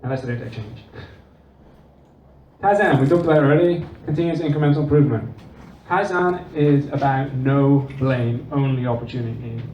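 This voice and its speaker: A man with a Dutch accent, giving an animated conference talk and acting out quoted dialogue. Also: mid-range voice, moderate pace. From Netherlands and England. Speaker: conference presenter. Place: conference room. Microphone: distant room recording. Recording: distant, roomy, dull with faint noise.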